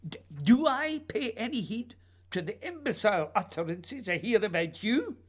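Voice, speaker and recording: A woman playing a proud, secretive male playwright in a radio play, speaking formally and deliberately in a husky voice. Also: mid-range voice, expressive. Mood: indignant.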